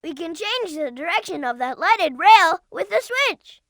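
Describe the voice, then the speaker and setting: An actor voicing a plucky young cartoon fox boy sidekick in a video game, childlike and earnest, giving clearly spoken hints and warnings. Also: very high-pitched, big pitch swings, a little slow, fairly bright tone, no room sound, clean studio sound, full character voice. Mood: helpful, instructive.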